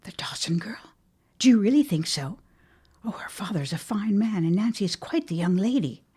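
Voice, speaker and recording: Lively, chatty shopkeeper mother. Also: medium pitch, moderate pace, husky. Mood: nosy, teasing. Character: chatty, fond, nosy middle-aged shopkeeper mother. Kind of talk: period audio drama acting.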